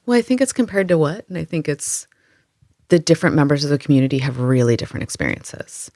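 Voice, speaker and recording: Lively woman, tour guide, dry sound. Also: low-pitched, fast, no echo. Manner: animated, lively, thinks aloud with fillers. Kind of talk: conversational interview answers. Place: Amsterdam.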